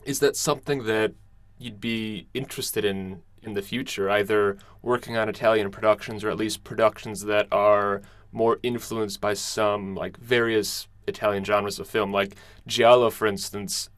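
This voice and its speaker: A man hosting a podcast, asking loose, relaxed interview questions with fillers. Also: fairly low pitch, moderate pace, dry recording.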